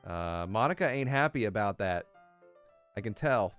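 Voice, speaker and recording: Male gaming host, smooth clear mid-range voice, reactive and sweary, light game music underneath. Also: on the low side, lively intonation, normal speed, fairly bright tone. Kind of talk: gameplay commentary, reading visual novel dialogue aloud.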